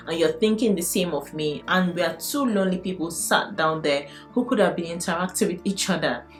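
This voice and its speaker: A woman from Nigeria with a Nigerian accent, giving earnest, encouraging advice in a vlog. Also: low-pitched. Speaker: vlogger. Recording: faint guitar music behind.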